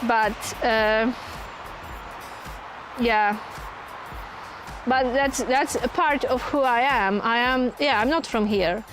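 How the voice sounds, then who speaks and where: A woman with a Polish accent talks in a relaxed, chatty way, reading viewer comments aloud as she goes. Her voice sits a little high, and faint music plays behind her. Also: slow, long pauses, low-quality recording. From Poland. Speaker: channel host. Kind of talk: casual live-stream chat. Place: touring caravan.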